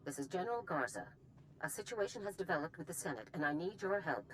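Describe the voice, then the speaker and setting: A woman's voice: fairly low pitch, lively intonation, quick pace, a bit bright, a little hiss or hum, poor audio. An actress playing a stern, calculating female general in a video game, clipped and authoritative. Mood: stern, exasperated. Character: stern, calculating older female military general, politics-weary.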